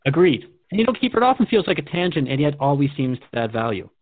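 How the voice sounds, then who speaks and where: A man's voice: a little high, big pitch swings, fast, phone-quality sound. A man hosting a radio show, speaking reflectively in scripted radio drama dialogue.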